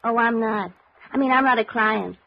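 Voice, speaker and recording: A woman's voice: on the high side, normal speed, vocal fry. An actress playing a perky, naive young club clerk in a 1950s radio drama, girlish and chatty. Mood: perky, coy. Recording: dull, noisy old radio recording.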